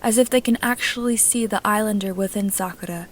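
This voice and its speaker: Woman, amateur storyteller, scripted fiction narration, medium pitch. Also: brisk, a little hiss or hum. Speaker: amateur podfic narrator reading own story.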